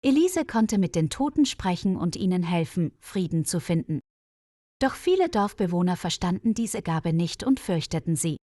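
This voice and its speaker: A woman reading a scripted mystery story for language learners in a measured, careful storyteller's delivery. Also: lowish voice, big pitch swings, mellow, no echo, studio quality.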